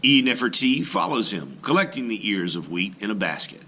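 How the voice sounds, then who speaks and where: A man reading an art lesson aloud as a teacher, deliberate and emphatic, pausing between phrases and explaining as he goes. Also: on the low side, sing-song, sharp tone, slight background noise. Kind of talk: read-aloud lesson narration with brief explanatory asides.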